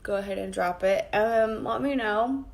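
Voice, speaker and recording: A woman with a raspy voice, chatting casually with her viewers while she does her makeup at her vanity at home. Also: mid-range voice, a little slow. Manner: chatty, greets viewers by name and rambles while working. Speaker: makeup vlogger. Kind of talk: casual live-streamed makeup tutorial. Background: faint background music.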